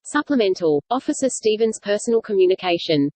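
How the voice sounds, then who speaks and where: A woman's voice: medium pitch, lively intonation, fairly quick, mellow, no room sound, lightly acted. Actress as impersonal female archive computer for sci-fi drama. Official, detached, mid-range, very dry recording. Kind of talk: scripted sci-fi announcement.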